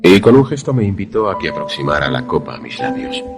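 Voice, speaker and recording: Man acting very deep, gravelly, haunted narrator. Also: sing-song, over music. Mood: solemn, suspenseful. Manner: solemn, measured, dramatic. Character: haunted, lovelorn former student, grave narrator. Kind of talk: first-person suspense radio drama narration.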